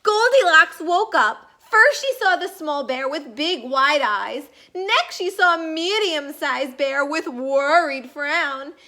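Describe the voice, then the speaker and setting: A woman narrates a children's story in a high, bright voice. She reads expressively and unhurriedly, with big swings of pitch. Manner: animated, deliberate storytelling with emphatic words.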